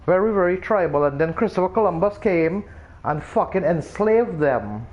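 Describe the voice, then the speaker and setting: Streamer, Trinidadian man, higher voice, chatty and rambling, muffled lo-fi sound. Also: moderate pace. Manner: rambling, animated explaining with many restarts. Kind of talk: casual chat while gaming.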